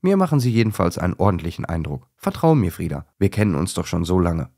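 Man voicing a gravelly Russian-accented hedgehog. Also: low-pitched, sing-song, fast, darkish tone, full character voice. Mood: firm, soothing. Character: friendly Siberian snow hedgehog, male, calm peacemaker.

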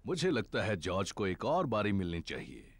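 A man's voice: on the low side, huge pitch swings, normal speed, a little hiss or hum. A man voicing a jolly, boastful father pig in a cartoon, with a hearty, self-assured manner. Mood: gentle, reassuring. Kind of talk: Hindi cartoon dub acting.

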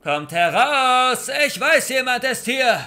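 A man voicing a large, violent man who shouts drawn-out threats in an audiobook story, with music behind. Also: very high voice, sing-song, voice pushed up, exaggerated voice. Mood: menacing, shouting.

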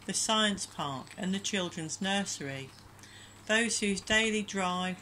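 A woman giving a calm, gentle, measured prayer reflection as a voice-over. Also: medium pitch, slight background noise. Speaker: church minister leading prayer.

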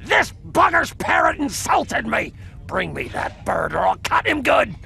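Game ruffian: furious brawler, very high, heavily gravelled, over loud music. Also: male voice, very animated, unhurried, heavily acted. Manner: shrieking, gravelly threats. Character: hot-tempered threatening male tavern brawler. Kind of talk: video game cutscene acting.

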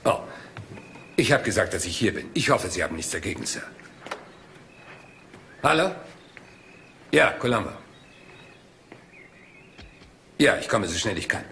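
Rumpled, deceptively casual police inspector in a radio mystery; courteous, meandering, lively swooping intonation. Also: male voice, fairly low pitch, moderate pace, pauses often, slight background noise, full character voice. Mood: casual, polite. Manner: rambling, hesitant, polite, deceptively casual questioning. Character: rumpled, absent-minded, polite but shrewd male police detective. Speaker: police inspector in a crime drama. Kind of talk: German crime radio drama acting.

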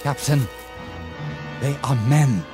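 A man playing a halting, compassionate army lieutenant. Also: mid-range voice, music in the background, lightly acted. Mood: anguished protest. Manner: hesitant, halting, pleading. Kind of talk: radio drama acting.

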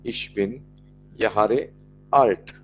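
A man with an Indian accent, whose first language is Marathi, teaching an online German class, slowly repeating each German phrase for his learners. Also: fairly low pitch, muffled recording, slight background noise.

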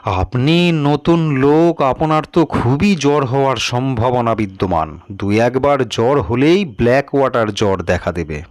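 A man's voice: mid-range voice, animated, unhurried, no echo, clean studio sound, lightly acted. A man voicing a weary senior sub-inspector in an audio story, speaking in a grave, resigned, emphatic way. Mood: grave warning.

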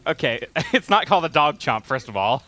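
A man's voice: mid-range voice, very animated, a little fast, bright, forceful tone. A man doing casual gaming commentary, joking, teasing and swearing freely. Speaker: let's play host.

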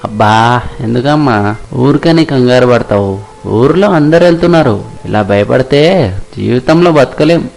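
Stubborn young fisherman, medium pitch man acting. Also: lively intonation, moderate pace, vocal fry, a little mellow, faint music behind. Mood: reassuring. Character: hardworking, stubborn but kind young fisherman. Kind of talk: animated moral story voice acting.

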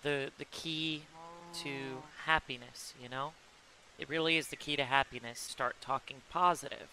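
A man's voice: medium pitch, steady tone, normal speed, pushed and bright, slight background noise, low-quality recording. A man in casual stream chat, speaking in a reflective, encouraging way.

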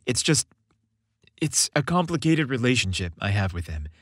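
A man's voice: mid-range voice, very animated, moderate pace, fairly bright tone, dry recording, clean studio sound. A man voicing an affectionate, hesitant and reassuring young man in a romantic audio story. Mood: hesitant, apologetic. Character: affectionate young man, evasive about his family.

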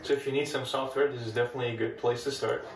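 A man's voice: medium pitch, racing, noisy background. A man with a Dutch accent, a native Dutch speaker, casually narrating a step-by-step demo of an old computer in a room full of old machines. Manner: relaxed, chatty, explains step by step. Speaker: retro computing video host. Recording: echoey room, mic at a distance.

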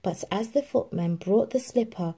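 A woman reading a children's storybook aloud in an expressive, animated narrating style. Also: medium pitch, normal speed, breathy edge. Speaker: storybook reader.

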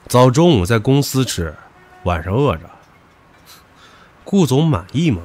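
An actor playing a brash, hot-tempered young subordinate who taunts crudely in an audio drama. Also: male voice, mid-range voice, very animated, measured pace, a little mellow. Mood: mocking, defiant. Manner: mocking, crude, provocative. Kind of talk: modern audio drama acting.

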